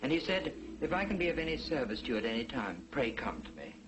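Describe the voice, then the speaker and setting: A man portrays a kindly, earnest clerk devoted to his family. His intonation is very lively, in a vintage television drama with clear background noise. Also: mid-range voice, fast talker, close to an everyday voice. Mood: earnest, subdued. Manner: gentle, earnest, expressive. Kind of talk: 1940s television drama acting. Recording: noisy old 1940s TV soundtrack.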